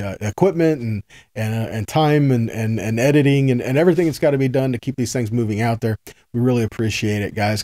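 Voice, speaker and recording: A man's voice: medium pitch, warm tone, no room sound, clean studio sound. A man giving an informal sermon as a Bible teacher, earnest and folksy, repeating phrases for emphasis and checking in with his listeners. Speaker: Bible teacher, host of a weekly teaching video.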